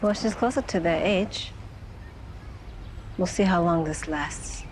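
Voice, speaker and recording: A woman's voice: on the low side, slow pace, a little hiss or hum. A skeptical, curt woman. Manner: doubtful, curt. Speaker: film character.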